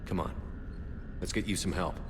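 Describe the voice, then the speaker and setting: Man playing a low-voiced, terse space commander. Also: normal speed, lightly acted. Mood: focused concern. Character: resolute male military space commander, urgent and blunt. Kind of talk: science fiction game acting. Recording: echoey, with loud vehicle-like ambient noise.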